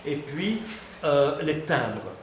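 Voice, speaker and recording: A man's voice: fairly high pitch, animated, drawn-out words. A man talking freely as a visiting guest before a class, chatty and hesitant, full of fillers and restarts. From Belgium. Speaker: visiting guest answering students. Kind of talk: relaxed spontaneous talk. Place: high school classroom. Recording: echoey classroom with background noise.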